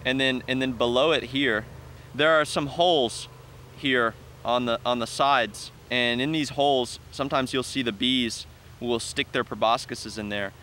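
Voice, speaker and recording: A man's voice: medium pitch, fairly even intonation, unhurried, sharp tone, a little hiss or hum. A young man in his twenties on a lapel mic, explaining step by step with enthusiasm while handling plants in an open farm field. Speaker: farm educator. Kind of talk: outdoor teaching walk-through.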